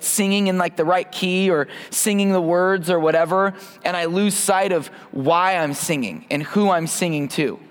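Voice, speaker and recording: A man's voice: high voice, long reverb tail. A man in his thirties giving an informal sermon and prayer with a mic on, casual and self-deprecating, often restarting his sentences. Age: 30s. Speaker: preacher. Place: church hall. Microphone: wearing a microphone.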